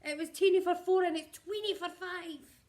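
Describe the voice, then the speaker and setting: A woman's voice: extremely high pitch, fairly even intonation, moderate pace, a little mellow, voice pushed up. A woman voicing an exasperated little old lady from a children's storybook, pleading and wailing her complaints in rhyme. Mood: frantic despair.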